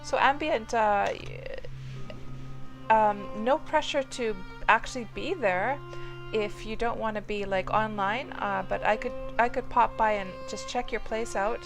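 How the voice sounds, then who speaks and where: A woman's voice: fairly high pitch, a little slow. A woman, live-streaming a game and chatting loosely with viewers, rambling with lots of fillers. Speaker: game livestreamer. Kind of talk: casual live-stream chat while playing. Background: music playing under the voice.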